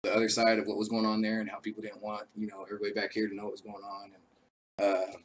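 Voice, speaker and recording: A man who is an army veteran hosting his own show, talking casually and rambling with many fillers, his voice raspy from a cold. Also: fairly low pitch, monotone, racing, a little hiss or hum. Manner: laid-back, rambling, frequent uh fillers. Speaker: show host. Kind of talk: casual live-stream talk.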